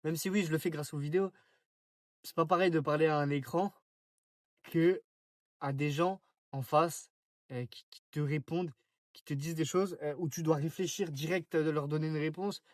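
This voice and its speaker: A man's voice: on the high side, fairly even intonation, moderate pace, dry recording, clean studio sound. A nineteen-year-old man from France talking casually to camera in a rambling, slangy monologue full of fillers and restarts. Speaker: vlogger.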